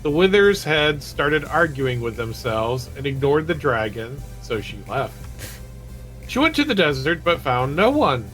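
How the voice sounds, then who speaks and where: Higher-voiced man reading stories over music. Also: big pitch swings, unhurried, fairly bright tone, rough recording. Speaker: guest co-player reading stories aloud. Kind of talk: storybook read-aloud and casual game chat.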